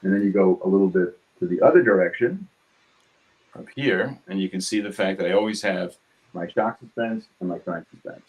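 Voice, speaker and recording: A man in his seventies from New York City with a New York accent, talking casually about his craft in his home studio, quick and succinct, hitting consonants hard. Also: sits low, phone-quality sound. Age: 70s. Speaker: guest artist. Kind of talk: casual studio chat while drawing.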